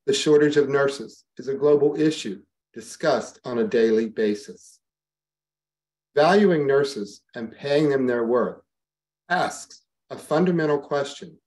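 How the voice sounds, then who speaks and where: A man reading a prepared campaign speech as a board candidate, steady and serious. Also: medium pitch, a little slow.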